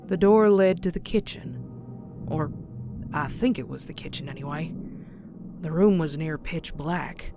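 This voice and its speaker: Woman as slow, low-voiced frightened horror-tale pony. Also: music in the background. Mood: hushed dread. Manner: slow, suspenseful, dropping into hushed breathy whispers. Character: terrified pony narrating a horror tale, dread-filled. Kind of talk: scripted dramatic horror narration for a comic dub.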